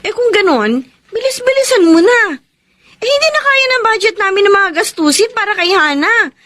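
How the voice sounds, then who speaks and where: An actress playing a blunt, protective younger sister in a radio drama, chiding and expressive. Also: female voice, very high voice, moderate pace, full character voice. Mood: exasperated, demanding. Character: outspoken, protective younger sister of a village family.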